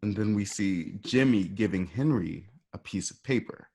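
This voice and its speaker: Low-voiced man narrating stage directions. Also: lively intonation, normal speed, gravelly, darkish tone, husky. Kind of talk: scripted narration of screen directions.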